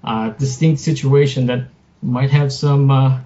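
A man being interviewed as a guest author, chatting casually in a halting, self-deprecating way. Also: medium pitch, fairly even intonation, warm tone. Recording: muffled lo-fi sound with noticeable background noise.

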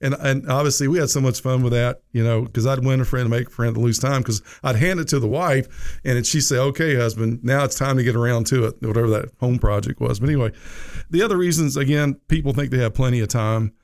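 Gravelly-voiced folksy radio host. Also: male voice, medium pitch, quick pace, darkish tone. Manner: folksy, rambling, frequent "you know". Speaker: radio show host, financial advisor. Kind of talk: casual radio talk.

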